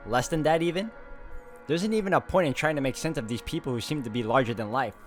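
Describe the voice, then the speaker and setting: A man's voice: medium pitch, fast talker, loud music behind the voice. Man reading a visual novel aloud. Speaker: video game walkthrough host.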